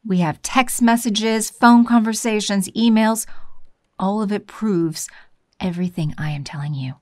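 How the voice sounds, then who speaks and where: Scheming villainess for an actress: smug, poised, condescending corporate front, audio drama, close dry studio sound. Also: female voice, medium pitch, measured pace, darkish tone, no echo, close to an everyday voice. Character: scheming villain empress posing as smooth corporate woman.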